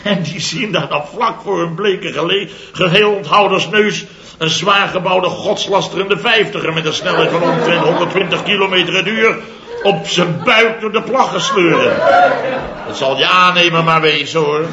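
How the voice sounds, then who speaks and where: A man's voice: high voice, moderate pace, reverberant, heavy background noise, close to an everyday voice. An actor playing a blustering contractor in his fifties who tells his mishaps theatrically and chuckles through his lines. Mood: blustering, amused. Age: 50s. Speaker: contractor boss Biels. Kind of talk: 1960s radio comedy serial. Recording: dull old broadcast recording, studio audience laughter. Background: audience laughter.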